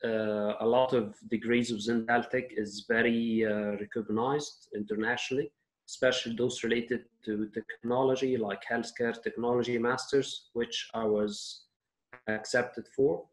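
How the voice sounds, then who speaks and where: A man at a medium pitch answering interview questions earnestly. He speaks fluently, with an occasional "uh" as he goes. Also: level tone, a little slow, rough recording. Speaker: medical doctor and startup founder.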